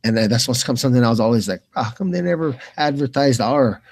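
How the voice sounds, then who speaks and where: A man's voice: medium pitch, lively intonation, fast talker, a little mellow, slightly rough. A man commentating live on fights in a casual, informal way, with plenty of bro and man. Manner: informal, slangy, calls people bro and man. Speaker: commentator, former pro fighter.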